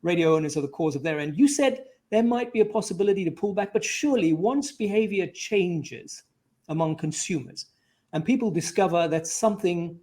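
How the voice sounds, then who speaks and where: A man with a fairly high voice conducts an interview in a conversational way. He sums up what he has heard and presses on it with probing questions. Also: a little mellow. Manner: probing, restates the guest's points.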